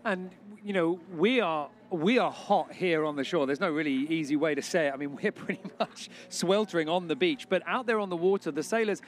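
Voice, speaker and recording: A man's voice: fairly high pitch, a little fast, few pauses. A man hosting live sailing coverage from the shore, talking through conditions and the standings. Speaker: sailing commentator and host. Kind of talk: live sports commentary. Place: on the shore at a hot beach venue.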